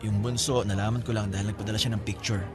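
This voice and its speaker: A man acts a breezy older brother in a radio drama. His voice is on the low side, casual and frank, with a teasing, talkative manner over clearly audible music. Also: fairly even intonation, fast, lightly acted. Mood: candid, casual. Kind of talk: Filipino radio-style drama dialogue.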